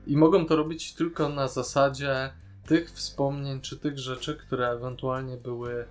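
A man's voice: mid-range voice, flat delivery, a little slow, faint music behind. A man co-hosting a casual podcast discussion, giving opinionated, critical commentary. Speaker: podcast co-host.

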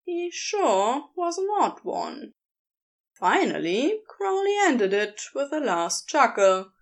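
Female story narrator, higher-pitched, expressive and animated, very unhurried pace. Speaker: podfic narrator.

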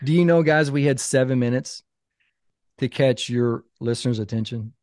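Man, 50s, podcast guest, animated, emphatic, warm mellow voice, dry close sound. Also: medium pitch, normal speed, no room sound. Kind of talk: casual podcast conversation.

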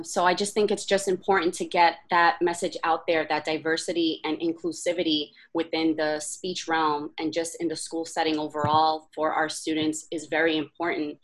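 A woman's voice: low-pitched, fairly bright tone, rough recording. A woman speech-language pathologist answering interview questions hesitantly, with frequent fillers.

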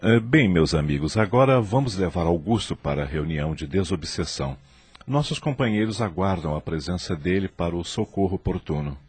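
A man playing a patient, brotherly spirit guide in a radio drama, gentle and soothing as he persuades. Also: low-pitched, lively intonation, brisk, breathy edge, heavily acted. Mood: composed.

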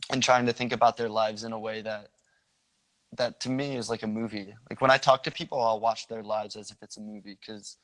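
A young man from the Philadelphia area talks in an easygoing, informal way, often pausing on "um." His pitch barely moves and stays fairly even from phrase to phrase. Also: rough recording. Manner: relaxed, informal, many ums. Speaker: guest sharing a meet-people project. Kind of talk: casual interview chat.

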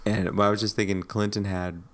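Very low-voiced man chatting casually. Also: little pitch movement, brisk, slight background noise. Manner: rambling, opinionated, many restarts. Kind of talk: casual chat.

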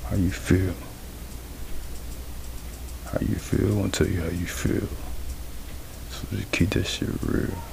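A man's voice: deep voice, little pitch movement, vocal fry. A nineteen-year-old man musing in a freestyle monologue, slangy and profane, sometimes dropping to a whisper. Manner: slangy, profane, slow reflective talk, drops into whispers. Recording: noisy background ambience.

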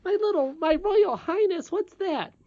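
A woman's voice: medium pitch, animated, normal speed, a little mellow, dry recording, highs cut off, voice pushed up, natural voice. A woman reading a storybook aloud, voicing an earnest, curious little princess with a childlike, pleading manner.

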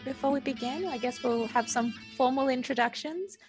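A woman co-hosting a podcast with a soft voice, chatting casually with a guest. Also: sits high, normal speed, over music. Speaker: podcast co-host. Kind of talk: casual interview chat.

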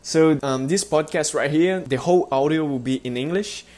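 Lift the voice a little and bring energy, with a Brazilian accent, as you welcome listeners to your new show. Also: male voice, a little high, normal speed. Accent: Brazilian Portuguese. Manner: upbeat, energetic opening. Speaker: podcast host. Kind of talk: podcast intro monologue.

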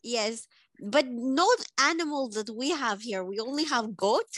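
A woman voicing a blunt, deadpan Eastern European woman caller who talks in slow, broken English with a put-on accent. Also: on the high side, no room sound. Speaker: comedy podcast caller.

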